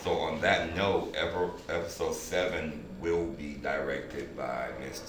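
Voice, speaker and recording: Very deep-voiced man in an echoey room. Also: steady tone, unhurried. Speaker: team leader, producer. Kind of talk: casual speech to his team. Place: indoor room at a group table read. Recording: very echoey room, far mic, noisy.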